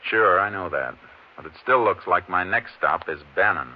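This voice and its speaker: Man acting very deep-voiced, methodical Texas Ranger. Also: lightly acted. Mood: matter-of-fact, assured. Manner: terse, measured, matter-of-fact. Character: steady, methodical male Texas Ranger investigator. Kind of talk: 1950s radio western detective drama acting. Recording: dull, noisy old 1950s radio recording.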